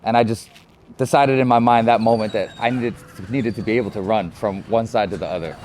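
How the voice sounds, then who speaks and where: Calm low-voiced American man. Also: slight background noise. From United States. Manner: measured, thoughtful, frequent "you know" fillers. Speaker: interviewee. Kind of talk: reflective documentary interview.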